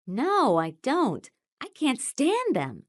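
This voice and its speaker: A voice actress plays an enthusiastic woman in an English textbook conversation. Her voice is a little high with lively melody. The lines are scripted for learners. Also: slow pace, full character voice. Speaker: voice actor in English lesson dialogues.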